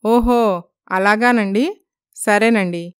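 A woman's voice: medium pitch, slow pace, clear, resonant tone, no room sound, clean studio sound, natural voice. A woman voicing an anxious young wife in a cartoon story, polite and plaintive.

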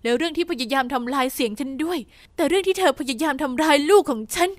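A gentle young stepmother from a narrated story, as a woman puts her on. She speaks softly and tenderly. The sound is dry and close. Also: high-pitched, animated, quick pace, dry recording. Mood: firm. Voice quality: soft.

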